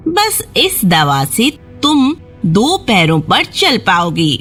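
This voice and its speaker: A woman voicing a menacing sea witch who bargains in a slow, taunting way for a children's fairy tale cartoon. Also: medium pitch, huge pitch swings, a bit bright, soft background music.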